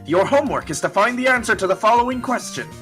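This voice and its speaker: Performer doing a bright, excitable pompous male judge. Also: mid-range voice, quick pace, soft background music, close to an everyday voice. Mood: official, solemn. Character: flustered, excitable male courtroom judge, pompous and easily shocked. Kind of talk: amateur anime-game fandub acting.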